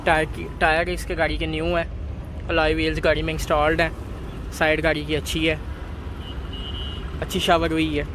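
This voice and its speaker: A man walking viewers around used cars at an outdoor bazaar, listing their features in a steady, repetitive way. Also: on the high side, moderate pace, pauses often. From Pakistan. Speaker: car market video host. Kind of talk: walkaround car review for viewers. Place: outdoor car bazaar, dusty lot. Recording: outdoor market noise behind.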